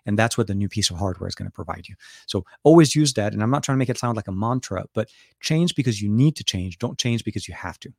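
A man's voice: on the low side, animated, fast talker, vocal fry, a little mellow, slightly rough, no room sound, clean studio sound. A middle-aged man from Lebanon hosting a casual live Q&A, talking with many fillers and restarts and answering viewers' questions. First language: Arabic. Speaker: livestream host.

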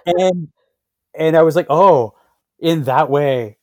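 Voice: medium pitch, takes it slowly, warm tone, no room sound. Chatty interviewer, dry close sound. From Canada. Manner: chatty, laughs at own stories. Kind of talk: conversational interview.

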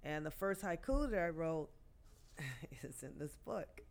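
Woman in her sixties, guest poet, storytelling, halting, lots of fillers. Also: sits low, lively intonation, creaky. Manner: halting, many fillers and restarts. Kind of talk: storytelling in a podcast interview.

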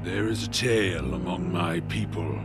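A man's voice: deep voice, normal speed, lightly acted. A man playing a proud, scornful orc warrior in an audio drama, speaking formally and pompously over music. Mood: solemn, imposing. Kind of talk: family audio drama acting. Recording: voice under clear background music.

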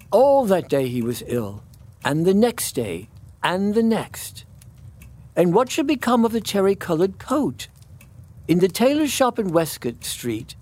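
A man narrating a scripted children's story as an expressive storybook reader. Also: sits high.

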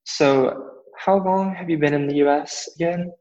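A man's voice: a little high, steady tone, a little slow, a little mellow. Male interviewer in a reverberant room. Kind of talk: casual interview questions. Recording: dull sound with long reverb.

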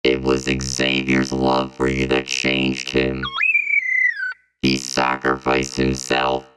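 A man playing a calm time-traveling robot in an audio drama, speaking in measured phrases through a synthetic voice effect. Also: loud music behind the voice. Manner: measured, even, explanatory delivery. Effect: very deep synthetic robot voice processing. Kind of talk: hobby audio drama acting.

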